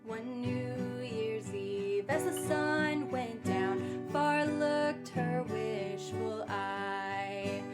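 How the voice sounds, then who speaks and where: A woman's voice: on the high side, fairly even intonation, drawn-out words, hardly any pauses. A woman performing as a guest singer, singing a folk ballad. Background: plucked guitar accompaniment.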